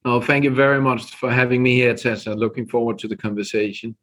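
A middle-aged man with a Danish accent talks through a podcast interview in an ordinary-pitched voice. He speaks in a measured way, often restarting and repeating words as he goes. He sounds like a business guest telling his story. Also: steady tone, fairly bright tone. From Denmark. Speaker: guest, company executive.

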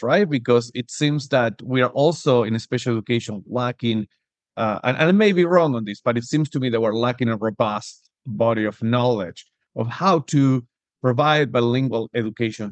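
A man's voice: mid-range voice, no echo. Man from Argentina asking searching questions. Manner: searching for words, restarts, rising "right?" tags. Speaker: podcast host. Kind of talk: interview questions.

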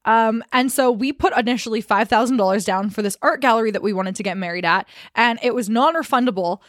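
Teasing young woman, slightly high voice. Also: fairly bright tone, no echo, clean studio sound. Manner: teasing, quick and chatty. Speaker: podcast co-host. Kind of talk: casual chat.

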